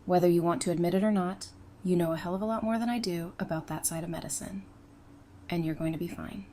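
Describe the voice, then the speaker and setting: An actress playing a supportive woman doctor in an audio drama, speaking informally with gentle teasing and reassurance. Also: lowish voice, quick pace, slight background noise, lightly acted. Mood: encouraging.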